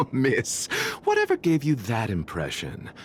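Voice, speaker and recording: An actor playing a courteous, enigmatic gentleman healer in a video game, formal and gently amused. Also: medium pitch, slight background noise, full character voice. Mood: amused, guarded. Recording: faint game music behind the voice.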